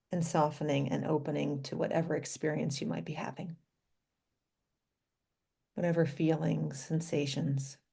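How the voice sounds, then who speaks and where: Slow, low-voiced woman guiding meditation. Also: creaky, breathy edge. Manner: gentle, unhurried, inviting, with pauses between short phrases. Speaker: doctor leading a guided meditation. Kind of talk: guided meditation.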